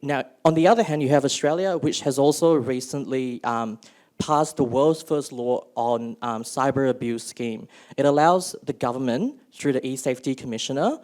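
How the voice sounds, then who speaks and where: A man's voice: mid-range voice, moderate pace, a little mellow. A young man from Malaysia speaking as a youth delegate on a panel, hesitant, with many ums and restarts. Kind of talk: panel talk.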